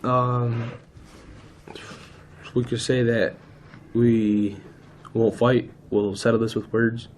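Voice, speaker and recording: An aggrieved high school guy played by a male student in a school role-play. He talks in a halting, slangy way with little pitch movement, at a middling male pitch. There is faint room noise around him. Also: little pitch movement, natural voice. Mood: conciliatory. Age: teenager. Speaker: student actor in a peer mediation dramatization. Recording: noisy room ambience.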